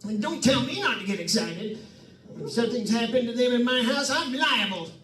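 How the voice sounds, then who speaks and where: A man's voice: extremely high pitch, lively intonation, moderate pace, loud music behind the voice. A man playing a nervous, penny-pinching property owner with a hesitant, stammering manner in an old-time radio suspense drama. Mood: anxious, agitated. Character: nervous, tight-fisted, excitable male property owner. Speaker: radio play performer. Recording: roomy, distant microphone, faint noise.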